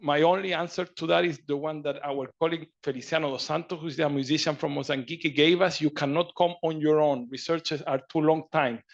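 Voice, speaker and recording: A man's voice: on the high side, steady tone, fairly quick, creaky, few pauses, lo-fi sound. A man from Chile speaking earnestly in a meeting discussion, often repeating and restarting his words. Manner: earnest, repetitive, searching for words. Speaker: panelist.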